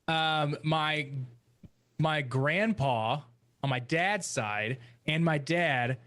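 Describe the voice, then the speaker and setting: Slightly high-voiced man chatting casually. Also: drawn-out words, fairly bright tone. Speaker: podcast panelist. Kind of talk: casual chat, storytelling.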